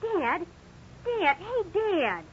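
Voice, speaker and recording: An insistent, boyish kid of thirteen in a 1950s radio drama. The voice is high and whiny, swinging eagerly up and down. The old recording is muffled and noisy. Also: female voice, sing-song, very slow, exaggerated voice. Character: eager thirteen-year-old boy pestering his dad.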